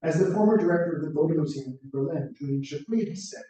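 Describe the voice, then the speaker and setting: A middle-aged American man giving a prepared conference talk, measured and orderly, often speaking in lists. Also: on the high side, mellow. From United States. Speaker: plenary speaker. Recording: echoey room, voice from far off.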